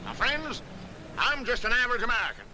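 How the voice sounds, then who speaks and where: An actor playing a fiery street demagogue who whips up a crowd in a fervent, rabble-rousing rant, in 1940s film style. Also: male voice, very high-pitched, wildly swooping pitch, fairly quick, pushed and bright, a little hiss or hum, exaggerated voice, poor audio. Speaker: soapbox agitator.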